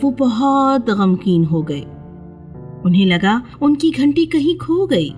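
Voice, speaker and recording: A woman tells a scripted children's fairy tale. Her voice is fairly high, with lively rises and falls, and music plays softly behind the narration. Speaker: storyteller, narrator.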